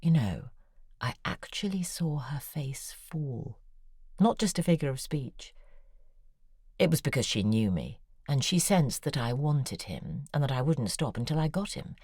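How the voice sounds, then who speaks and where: An actress voicing a poised, probing woman novelist in an audio drama, speaking in a measured, wry way. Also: extremely low pitch, lively intonation, a little mellow, breathy edge, dry recording, clean studio sound, heavily acted. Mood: confessional. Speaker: author character.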